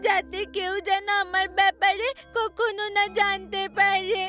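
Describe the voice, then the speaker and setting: A woman voicing the sorrowful ghost of a murdered young girl in a horror story, speaking plaintively and haltingly. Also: very high voice, little pitch movement, sharp tone, faint music behind, full character voice. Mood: tearful, accusing. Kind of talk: acted horror story dialogue.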